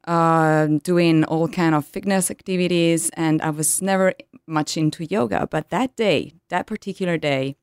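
A woman in her thirties from Italy with an Italian accent, a yoga teacher telling her story in an interview, pausing often to find words in English. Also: sits low, smooth, dry recording, clean studio sound. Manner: hesitant, searching for English words with many ums.

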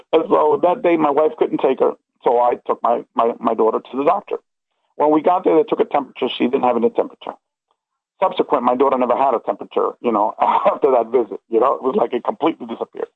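A man's voice: mid-range voice, quick pace, gravelly, breathy edge, no echo. A middle-aged man telling a personal story in a casual, rambling, earnest way, stressing points and checking the listener follows. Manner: earnest, emphatic, checks in with okay and you know. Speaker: interview guest recounting personal experiences. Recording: tinny phone-like line.

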